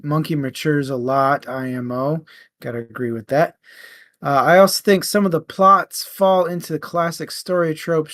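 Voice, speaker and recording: A man chats as co-host of an easygoing book discussion. He takes his time with a slow delivery, and the sound is dry, with hardly any room in it. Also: fairly high pitch, no echo.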